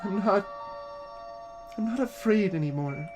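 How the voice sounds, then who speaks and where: Woman to play selfless young game hero; soft-hearted, halting, earnest, unhurried speech with music underneath. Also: mid-range voice, animated, close to an everyday voice. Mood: earnest resolve. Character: gentle, selfless lost child on a world-saving quest. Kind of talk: voiced video game dialogue reading.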